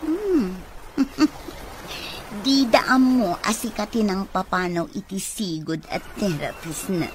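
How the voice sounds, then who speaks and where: A woman's voice: medium pitch, slow, lightly acted. A woman playing a sly, scheming accomplice in an Ilocano radio comedy-drama. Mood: sly, teasing. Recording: noisy radio drama mix.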